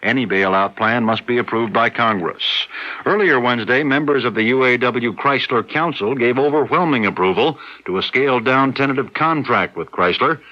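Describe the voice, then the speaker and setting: A man's voice: mid-range voice, normal speed. An American man reporting as a network radio newsreader, delivering a scripted bulletin. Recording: dull vintage radio broadcast.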